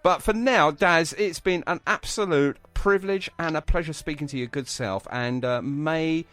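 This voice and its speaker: A man's voice: a little high, moderate pace, fairly bright tone, dry recording. A man hosting a live radio interview in a chatty, effusive, rambling and anecdotal way. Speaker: radio show host.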